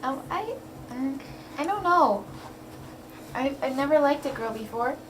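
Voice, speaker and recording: A woman's voice: high voice, expressive, a little slow. Girl doing a terse, awkward male puppet, noisy recording. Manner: quiet, terse, hesitant. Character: reluctant twenty-year-old male puppet, terse, awkward, deadpan. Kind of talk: improvised amateur puppet show. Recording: noisy, amateur home recording.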